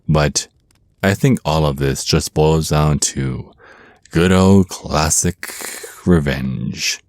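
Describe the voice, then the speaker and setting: Very deep, slow vampire naga voiced by a man. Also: darkish tone, no room sound, clean studio sound, heavily acted. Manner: slow, teasing, condescending, threatening endearments. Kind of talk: ASMR roleplay acting.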